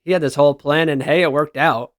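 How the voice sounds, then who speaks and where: A man's voice: fairly high pitch, steady tone, fast, no echo, clean studio sound. A man giving casual, enthusiastic reaction commentary as a gaming video creator, with slangy exclamations.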